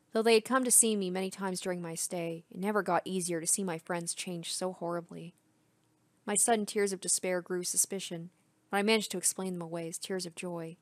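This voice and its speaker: A woman's voice: lowish voice, no room sound. A woman reading a first-person story aloud as a dramatic narration. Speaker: gaming video host.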